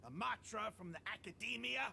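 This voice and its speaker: An actor playing a defiant, swaggering desert mercenary leader in a video game, with a gravelly voice and a taunting, theatrical manner. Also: male voice, sits high, expressive, brisk, sharp tone, slight background noise, full character voice. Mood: mocking. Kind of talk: dramatic video game acting.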